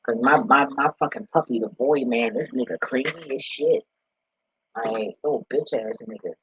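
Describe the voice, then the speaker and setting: A man with a husky voice talking casually and off the cuff, blunt and profane with streetwise slang, like an online spiritual commentator. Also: normal speed, fairly bright tone. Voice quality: husky, deep voice. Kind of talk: casual, unscripted rambling talk. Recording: roomy, dull lo-fi home recording.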